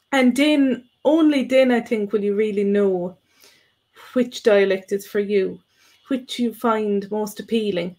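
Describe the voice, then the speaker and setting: Encouraging woman co-host. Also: fairly high pitch. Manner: encouraging, thinks aloud with restarts. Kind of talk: casual advice chat.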